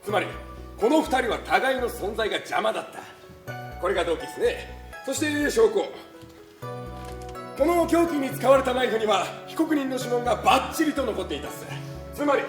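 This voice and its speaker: An actress playing an eager, deferential, blunt male police detective giving testimony in a brisk, slangy stage manner. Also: sits high, animated. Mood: dutiful. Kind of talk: theatrical stage acting. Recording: echoey stage with clear music behind.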